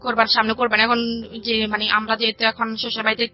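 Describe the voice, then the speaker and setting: A woman's voice: a little high, monotone, fast talker. A young woman, telling a personal story as an interview guest in a rambling, repetitive way. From rural Bangladesh. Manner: rambling, repeats phrases, quotes family conversations. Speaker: radio show guest telling her life story. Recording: dull lo-fi audio with music behind. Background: background music.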